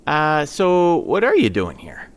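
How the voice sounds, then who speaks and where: A man's voice: a little high, wildly swooping pitch, slow, full character voice. Man playing a flustered, swooping ice fisherman. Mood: nervously evasive. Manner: stammering, nervous, hesitant. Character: flustered, evasive male ice fisherman hiding his companion. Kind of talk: comic audio drama acting.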